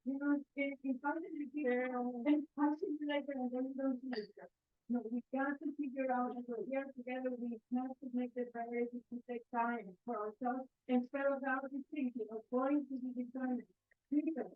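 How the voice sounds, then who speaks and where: An earnest woman in the audience makes a long, rambling point. Her voice is somewhat high. The sound is thin and narrow, like a phone line. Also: steady tone, normal speed. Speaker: audience member asking a question. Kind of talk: impassioned spontaneous comment.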